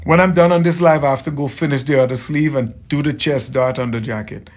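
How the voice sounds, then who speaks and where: A man's voice: medium pitch, steady tone, fairly quick, darkish tone. Trinidadian man chatting on a livestream. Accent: Trinidadian, softened toward American. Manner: friendly, repeats phrases, greets viewers by name. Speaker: tailor hosting a livestream. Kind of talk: casual livestream chat.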